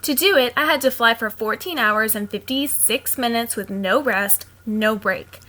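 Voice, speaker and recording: A woman's voice: fairly high pitch, normal speed. A woman reading picture books aloud to children like a teacher, expressively, with simple casual asides. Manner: expressive, simple explaining to young listeners.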